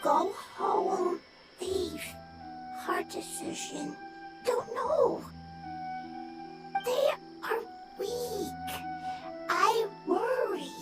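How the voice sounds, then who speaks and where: A woman voicing a small, simple, anxious creature in a video game, speaking in halting broken fragments. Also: very high-pitched, sing-song, full character voice. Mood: worried. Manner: halting fragments of one to three words. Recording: loud music behind the voice. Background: game music.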